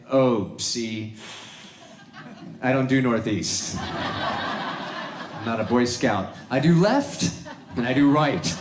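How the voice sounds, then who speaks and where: A male comedian doing a loud, punchy stand-up set, breaking into sung lines. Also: on the high side, reverberant, slight background noise.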